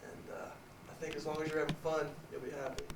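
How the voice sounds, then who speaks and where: A man's voice: a little high, little pitch movement, fast talker, distant mic, noisy background. A young man, a college football player, answering sincerely and a little hesitantly with repeated starts. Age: young adult. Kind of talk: reflective interview answers. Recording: echoey room, off-mic.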